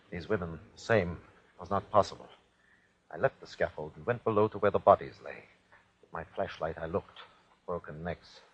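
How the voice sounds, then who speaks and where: An actor playing a cruel, rattled Nazi army captain, formal and clipped, in a 1940s radio horror style. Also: male voice, deep voice, fairly bright tone, breathy edge. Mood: grim, brooding. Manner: clipped, formal report-giving that breaks into barked orders. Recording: dull, lo-fi vintage radio recording.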